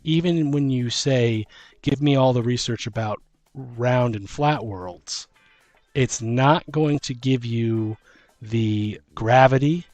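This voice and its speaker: Guy chatting on a podcast, average pitch, informal, arguing with what-ifs, bit of music behind. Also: slow pace, a little mellow, no echo. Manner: informal, argues with hypothetical examples. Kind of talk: casual podcast discussion.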